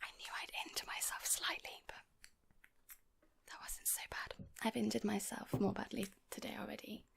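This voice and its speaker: A woman whispering in a casual ASMR chat, gently rambling and unhurried, as host of her own video. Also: medium pitch, level tone, vocal fry. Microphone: portable handheld audio recorder. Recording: close whispered recording on portable recorder.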